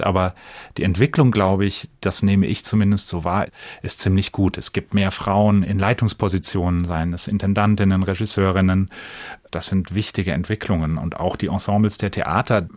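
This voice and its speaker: A man's voice: low-pitched, a little mellow, dry recording, clean studio sound. A man answering as an actor in a conversational radio interview, earnest and reflective, in broken-up phrases. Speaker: stage actor, interview guest.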